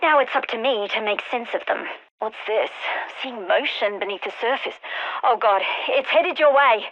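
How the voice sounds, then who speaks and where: A woman voicing a sharp-witted, driven scientist in a video game, with a wry, intense, articulate delivery. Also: a little high, normal speed, highs cut off, close to an everyday voice. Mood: urgent. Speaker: game character voice lines. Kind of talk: scripted video game voice acting.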